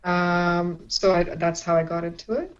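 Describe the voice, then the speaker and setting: Pakistan-born woman comic chatting from home, lowish voice, laughs a lot, kinda dull lo-fi audio. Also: measured pace. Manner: chatty, laughs often, many restarts. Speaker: stand-up comedian. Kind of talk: casual chat. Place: at home in isolation.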